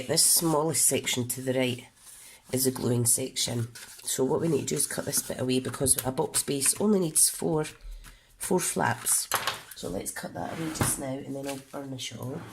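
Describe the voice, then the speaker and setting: A woman's voice: extremely low pitch, moderate pace, gravelly, noisy background. A woman from the UK demonstrating a papercraft project, congested and coughing, chatty and correcting herself as she goes. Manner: chatty, stops to self-correct and explain. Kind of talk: casual craft tutorial.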